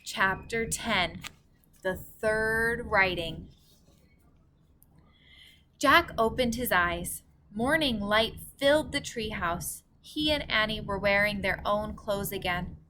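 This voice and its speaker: A woman reads a children's storybook aloud in a bright, forward voice. She takes her time like a teacher with young listeners, pronouncing things carefully, with some noise behind her. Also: medium pitch, animated, takes it slowly. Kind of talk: storybook read-aloud narration.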